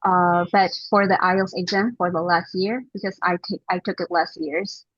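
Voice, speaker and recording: Husky young woman, dull lo-fi audio. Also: fairly low pitch, normal speed, dry recording. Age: 20s. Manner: relaxed, hedging with fillers. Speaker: guest. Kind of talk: casual interview answers.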